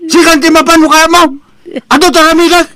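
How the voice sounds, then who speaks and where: A man's voice: extremely high pitch, flat delivery, a little fast, sharp tone. A man playing a worried, reproachful relative in a radio-style comedy drama, with exclamatory delivery. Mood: scolding. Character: worried, scolding male relative of a gullible woman.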